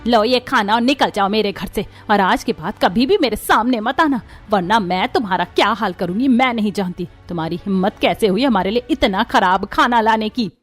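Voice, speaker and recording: A woman's voice: mid-range voice, moderate pace, few pauses, soft background music, natural voice. A woman voicing an angry housewife in a cartoon story, scolding indignantly. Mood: furious.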